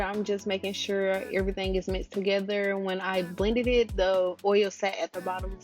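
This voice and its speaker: Slightly raspy young woman, low-key vlogger. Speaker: beauty vlogger. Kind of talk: casual hair-care tutorial chat.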